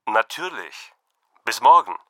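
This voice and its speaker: A man playing a friendly young Brazilian-born student with Portuguese as his first language, speaking slowly and carefully in a scripted textbook dialogue. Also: medium pitch, big pitch swings, a bit bright, heavily acted. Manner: slow, carefully enunciated. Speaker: student calling a friend for help.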